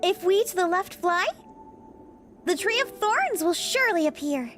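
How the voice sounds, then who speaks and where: An earnest little heroine of a fantasy game, played by a woman, very high in pitch and lively in melody. She speaks properly and theatrically, in storybook rhyme, with faint music behind her. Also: unhurried, heavily acted. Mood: delighted. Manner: theatrical, rhyming storybook delivery. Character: earnest young girl adventurer, proper and lively, rhyming. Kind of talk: fan dub of a fantasy video game.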